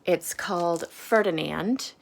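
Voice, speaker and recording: A woman reading a children's storybook aloud with casual asides, in deliberate phrases with emphasis on key words. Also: lowish voice, gravelly. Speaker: storybook reader.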